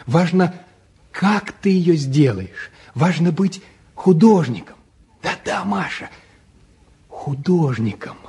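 A man's voice: a little high, animated, slow, long pauses, darkish tone, slightly rough, heavily acted. An actor playing an earnest, self-doubting man in his twenties in a 1950s Russian stage drama, speaking reflectively and repeating words for weight. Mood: brooding, reflective. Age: 20s.

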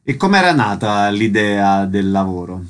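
A man's voice: lowish voice, sing-song, a little slow. A man working as an interviewer, putting short, plain questions to his guests.

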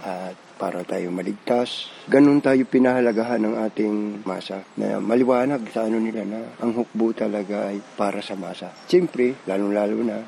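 A man's voice: fairly low pitch, creaky, dark tone, a little hiss or hum, poor audio. A man giving a spoken testimonial as a guerrilla fighter.

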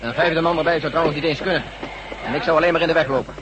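Quick, higher-voiced actor as a loyal colleague. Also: male voice, highs cut off, over a noisy crowd, natural voice. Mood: reassuring. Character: loyal, reassuring male colleague staying behind. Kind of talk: Dutch science-fiction radio drama acting. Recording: very noisy old radio drama recording.

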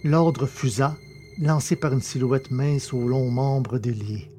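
Mellow-voiced male storyteller. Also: mid-range voice, steady tone, soft background music. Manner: measured, dramatic storytelling. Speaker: narrator. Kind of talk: scripted audiobook narration.

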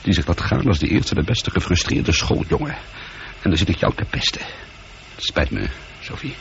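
A man's voice: deep voice, very animated, moderate pace, gravelly, fairly bright tone, full character voice. An actor playing a bored, irritable space colonel in his forties in a radio drama, with a sardonic, grumbling, teasing manner. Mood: rueful. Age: 40s. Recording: dull, noisy old radio recording.

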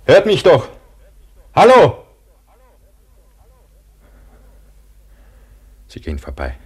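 An actor playing a frightened man buried alive under avalanche snow, halting and brooding, in a German radio play. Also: fairly high pitch, wildly swooping pitch, darkish tone, slightly rough, heavily acted. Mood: desperate. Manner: halting, brooding inner narration breaking into desperate calls. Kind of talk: German radio play acting, monologue narration and dialogue.

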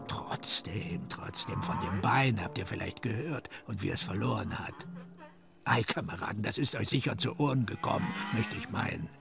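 Creaky, cryptic old-salt sailor prophet. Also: male voice, on the low side, moderate pace. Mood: ominous, muttering. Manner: insistent questioning with muttered, trailing asides. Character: cryptic ominous sailor, needling prophet of doom. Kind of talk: radio drama acting. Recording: music under the voice. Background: music.

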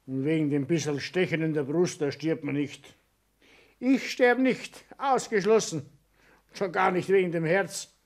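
High-voiced stubborn older Bavarian man. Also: a little slow. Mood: stubborn, dismissive. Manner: blunt, grumbling, dismissive. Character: stubborn, gruff ailing family businessman who denies his illness. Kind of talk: naturalistic 1960s German radio play acting.

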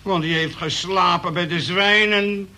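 An actor playing a pompous fire-and-brimstone preacher who declaims solemn prayers in a radio play. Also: male voice, sits high, steady tone, vocal fry, pushed and bright, exaggerated voice. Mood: thundering, righteous. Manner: declamatory, solemn, drawn-out phrasing. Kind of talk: radio play acting, chanted sermon-like prayer. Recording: very noisy old radio play recording.

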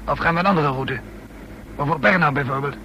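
A man's voice: a little high, a little fast, bright, forceful tone. An actor playing an anxious, cowardly male traitor in a radio drama, hesitant and nervously probing as he speaks. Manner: hesitant, questioning, uneasy. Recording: dull, noisy old radio play.

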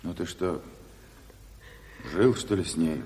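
A man's voice: low-pitched, takes it slowly, a little mellow. An actor playing a stern, blunt committee chairman, giving curt orders in a Soviet audio drama. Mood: probing, blunt. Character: stern gruff male revolutionary committee chairman, plain-spoken. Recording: reverberant studio hall.

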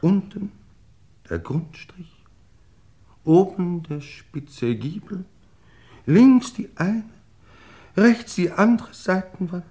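An actor playing a woozy, melodramatic English lord in a German radio crime play, faltering and theatrical. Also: male voice, high voice, expressive, slow, pauses often, dark tone, slightly rough, heavily acted. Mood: feverishly excited.

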